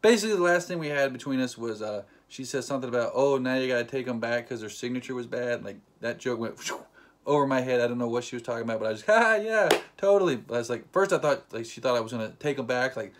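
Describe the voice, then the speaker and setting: A young man chats to the camera in a casual vlog, playful and quick to joke. His voice sits at an ordinary middle pitch, and he slips into little acted quotes as he retells the story. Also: animated, a little hiss or hum. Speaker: vlogger.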